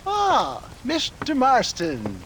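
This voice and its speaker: An actor playing an eccentric, rambling old swindler of the Old West in a video game, with a theatrical, hesitant and florid way of talking. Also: male voice, drawn-out words, slight background noise, full character voice, rough recording. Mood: sly, chatty. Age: older. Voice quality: animated, high-pitched, chuckling. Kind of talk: video game cutscene acting.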